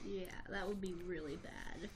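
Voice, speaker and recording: A woman's voice: on the low side, steady tone, normal speed. A young adult woman co-hosting a podcast with casual, chatty interjections. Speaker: podcast co-host.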